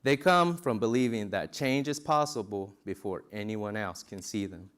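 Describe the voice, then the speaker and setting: Earnest man rallying a convention crowd. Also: on the low side. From New Mexico. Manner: earnest, rousing oratory. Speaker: convention speaker. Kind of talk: speech to a conference crowd. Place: large ballroom.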